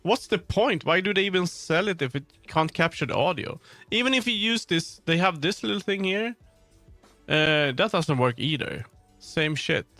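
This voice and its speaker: A man's voice: a little high, lively intonation, normal speed, pushed and bright, dry recording. A man livestreaming, chatting casually and informally about tech gear, with frequent swearing. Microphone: streaming microphone on a sound card. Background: music.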